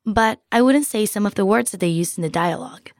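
A woman from Peru whose first language is Spanish co-hosts a language lesson. She explains things in a friendly, measured way at a middle pitch. Her voice is close and dry on a studio mic. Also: darkish tone, dry recording. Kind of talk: scripted lesson dialogue.

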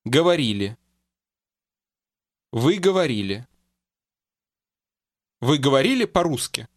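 Man enunciating phrases slowly for learners. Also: mid-range voice, big pitch swings, no room sound, clean studio sound. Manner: slow and carefully enunciated. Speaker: native-speaker model in a course. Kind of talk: clear model pronunciation for repetition.

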